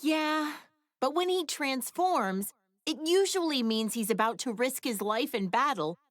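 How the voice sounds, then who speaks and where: A woman's voice: high-pitched, expressive, a little slow, dry recording, studio quality. A woman voicing a proud, sassy adult inventor and mother in an English anime game, with a breezy manner.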